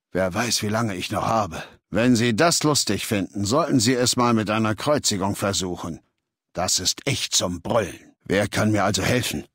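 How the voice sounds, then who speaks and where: Imperious warlord, video game dialogue, mid-pitched, commanding, contemptuous, measured, close dry recording. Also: male voice, fairly bright tone, breathy edge, dry recording. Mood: irritated, sarcastic. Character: ruthless, imperious male leader of a slaver legion. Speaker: video game character voice actor.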